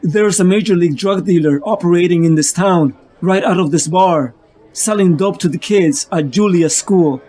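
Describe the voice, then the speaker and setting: A man's voice: high-pitched, moderate pace, dark tone. A man playing a tormented, ailing vigilante losing his sanity, narrating his story as a brooding, confessional monologue. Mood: brooding, troubled. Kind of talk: dramatic first-person comic narration.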